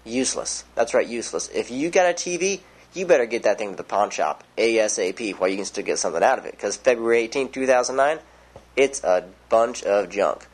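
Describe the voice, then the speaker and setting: A young man playing a news anchor in an amateur mock newscast, with a mock-serious, deadpan delivery. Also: on the low side, brisk.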